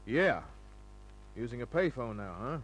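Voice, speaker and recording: Private eye: mid-pitched, wry and laconic, breezy tough-guy delivery, faintly noisy vintage radio sound. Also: big pitch swings, a little slow. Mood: casual, needling. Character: wisecracking hard-boiled male private detective. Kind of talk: old-time radio acting and first-person narration. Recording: noisy humming old radio recording.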